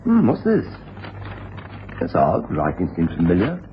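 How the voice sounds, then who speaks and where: An actor playing a mild, easily shaken gentleman husband, genteel and hesitant, in a radio drama. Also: sits low, huge pitch swings, a little slow, heavily acted. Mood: puzzled, shaken. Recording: dull, faintly noisy 1980s radio broadcast.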